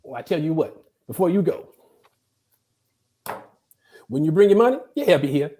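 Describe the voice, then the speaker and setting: A man playing a contentious, loud old barber who argues emphatically in slangy speech. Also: on the high side, animated, normal speed, close to an everyday voice. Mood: boastful.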